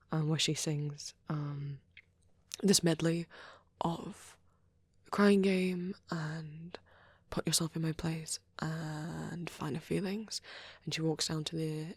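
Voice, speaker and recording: A woman rambling softly in an ASMR style, with a shy, hesitant, breathy delivery that often slips into a half-whisper, close to the microphone. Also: low voice, no room sound. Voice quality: soft, breathy. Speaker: ASMR roleplay creator. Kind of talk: soft rambling ASMR chat.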